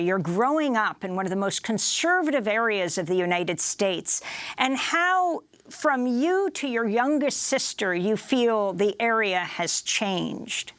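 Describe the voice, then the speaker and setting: A woman's voice: medium pitch, fairly bright tone, dry recording. A woman hosting a news broadcast, reading scripted narration and interviewing a guest.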